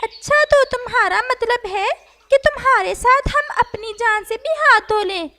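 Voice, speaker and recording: A woman voicing an excitable, bossy male parrot in a children's cartoon, emphatic and sarcastic. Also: extremely high pitch, a little slow, pitched above the performer's own voice, exaggerated voice. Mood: sarcastic, annoyed.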